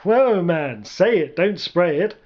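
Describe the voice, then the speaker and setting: A man's voice: high-pitched, animated, slow pace, a little mellow, dull audio, heavily acted. A man voicing a dim, laid-back male army grunt with an oblivious slacker manner in a storybook reading. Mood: offhand.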